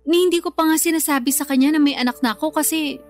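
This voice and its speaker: A woman's voice: high-pitched, fast, faint music behind, natural voice. A woman playing a weary, stubborn young Filipina single mother, defensive and resentful, in a radio drama. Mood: pleading, stubborn. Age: young adult. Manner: plaintive, defensive.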